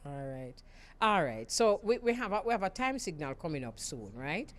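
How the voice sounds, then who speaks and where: A woman with a Jamaican accent hosting a radio talk show, emphatic and quick to laugh. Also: lowish voice. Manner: lively, laughs often, emphatic. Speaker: radio host.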